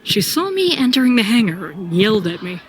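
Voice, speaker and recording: A woman's voice: medium pitch, sing-song, brisk, close to an everyday voice. A woman narrating a sci-fi audio drama in first person as a troubled, brooding soldier. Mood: tense recounting. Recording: clear background noise and ambience.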